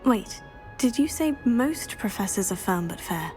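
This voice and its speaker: A woman playing a polite, curious teenage schoolgirl in a video game, speaking in a measured way. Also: mid-range voice, animated, darkish tone, soft background music, lightly acted. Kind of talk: video game dialogue acting.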